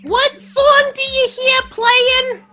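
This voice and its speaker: Imperious alien receptionist, piercingly high, slow delivery, theatrical, excitable, sci-fi comedy with music behind. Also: female voice, heavily acted. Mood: officious welcome. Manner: theatrical, bossy, interrogating. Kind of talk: comic sci-fi audio drama.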